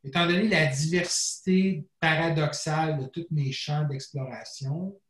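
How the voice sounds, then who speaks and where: A man's voice: on the high side, unhurried, fairly bright tone, reverberant. A man, a coach talking in a casual podcast conversation, rambling and reflective and slipping in English phrases. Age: 40s. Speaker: guest, bodybuilding coach.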